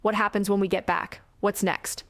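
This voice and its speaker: A woman's voice: mid-range voice, fairly even intonation, normal speed, dry recording, natural voice. Female reader playing determined caring sister, earnest audiobook dialogue, mid-range voice, very dry recording. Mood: earnest, pressing.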